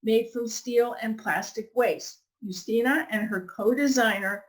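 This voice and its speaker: A woman hosting a podcast, reading a scripted introduction and asking interview questions in a measured, clear way. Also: on the high side, low-quality recording. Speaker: podcast host.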